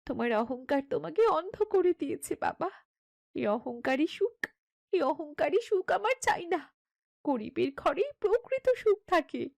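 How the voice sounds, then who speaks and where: A woman's voice: high voice, big pitch swings, a little slow, gravelly, darkish tone, no echo, studio quality, heavily acted. A woman voicing a headstrong, loving young daughter of a landlord in a cartoon story, speaking earnestly and insistently. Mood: defiant. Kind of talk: Bengali cartoon story acting.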